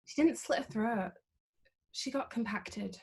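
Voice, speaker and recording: An actress playing a defensive, sharp-tongued young granddaughter in a scripted drama read over a video call. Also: female voice, fairly high pitch, unhurried, gravelly, slightly rough, exaggerated voice. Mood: wounded. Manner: curt, indignant.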